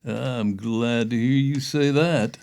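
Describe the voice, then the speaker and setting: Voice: medium pitch, slow, vocal fry, a little mellow, clear, resonant tone. An actor playing a modest, awkward and secretive male amateur TV chef in an audio play, hesitant and understated. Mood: coaxing, sly. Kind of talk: staged audio play acting.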